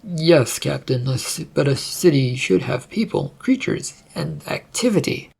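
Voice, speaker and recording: Someone acting a hesitant, husky fantasy adventurer. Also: medium pitch, expressive, measured pace, darkish tone, heavily acted. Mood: tentative. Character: polite, hesitant adventurers pleading and questioning.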